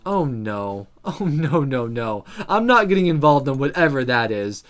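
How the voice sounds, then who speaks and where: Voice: mid-range voice, big pitch swings, unhurried, faint music behind, voice pushed down. A performer voicing a cautious, reluctant student turned into a girl in a visual novel, dry, sarcastic and grumbling. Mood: sarcastic, wary.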